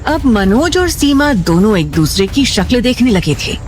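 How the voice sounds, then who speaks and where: A woman's voice: fairly high pitch, big pitch swings, a little slow. A woman narrating a scripted, dramatic story as the storyteller of an audio series. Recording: music bed under the voice.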